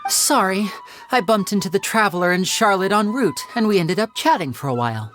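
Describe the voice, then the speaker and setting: A woman's voice: medium pitch, animated, fairly bright tone, faint music behind, lightly acted. A woman voicing a polite game investigator. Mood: curious. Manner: courteous, brisk questioning.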